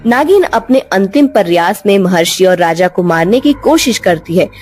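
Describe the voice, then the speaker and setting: Female storyteller, medium pitch, scripted bedtime fairytale narration, light music bed. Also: lively intonation, normal speed, darkish tone.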